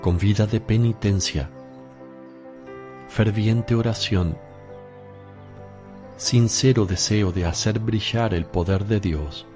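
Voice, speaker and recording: An actor playing a solemn, authoritative religious master who instructs disciples in measured phrases in a radio drama. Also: male voice, on the low side, darkish tone, faint music behind. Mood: solemn instructing.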